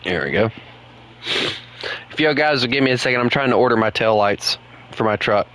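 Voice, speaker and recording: Young man, southern accent, rambly gaming chat, bright voice. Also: medium pitch, expressive, fairly quick, a little hiss or hum, poor audio. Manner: rambling, chatty, laughs often. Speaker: gaming streamer.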